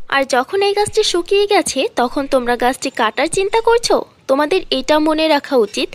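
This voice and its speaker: A woman's voice: high-pitched, dry recording. A woman voicing a brave, loyal orphan village girl with earnest, emphatic delivery in a cartoon fairy tale.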